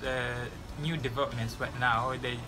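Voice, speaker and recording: A man's voice: medium pitch, fairly even intonation, moderate pace, noisy background. Young man giving earnest advice. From Malawi. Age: young adult. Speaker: graduating student interviewee. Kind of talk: casual interview. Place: outdoors by a building wall.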